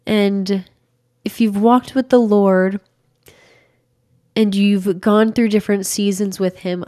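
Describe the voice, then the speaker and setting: A young woman in her twenties giving an encouraging devotional talk to camera, her voice slightly raspy from recovering from illness, recorded close on a studio mic in a small home room. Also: mid-range voice, darkish tone. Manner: earnest, encouraging, emphatic. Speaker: faith content creator. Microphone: large studio microphone close to mouth.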